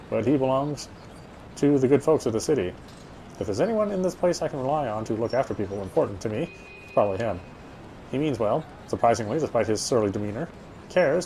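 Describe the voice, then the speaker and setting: Male narrator for horror game playthrough: medium pitch, brooding read-aloud delivery, music underneath. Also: darkish tone. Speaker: game player reading story text. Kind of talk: reading game narration aloud, expressive first-person.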